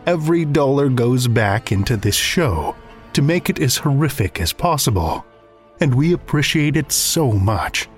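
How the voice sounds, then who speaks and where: Animated male horror podcast host over music. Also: mid-range voice. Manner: playfully ominous, dramatic. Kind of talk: scripted theatrical podcast hosting.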